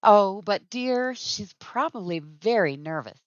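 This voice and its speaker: An actress playing a patient, gently teasing middle-aged wife and mother in an old radio family comedy. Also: mid-range voice, expressive, a little slow, natural voice. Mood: concerned, soothing. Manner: soothing, drily teasing. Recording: dull, roomy old radio recording.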